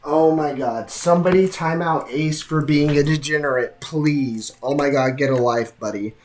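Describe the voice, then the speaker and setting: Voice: mid-range voice, moderate pace, darkish tone, slight background noise. A game streamer chatting casually with live viewers while reacting to a game, teasing and slangy. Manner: teasing, slangy banter with chat.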